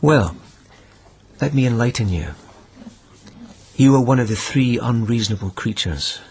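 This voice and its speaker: An actor in a radio drama playing a gentle, lovelorn police lieutenant, speaking in a deliberate, wry, musing way. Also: male voice, mid-range voice, lively intonation, slight background noise.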